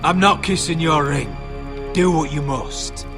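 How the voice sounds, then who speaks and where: An actor playing a proud, defiant young lord who will not bend, in a fantasy video game drama. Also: male voice, fairly high pitch, unhurried. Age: young adult. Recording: ominous music behind the voice.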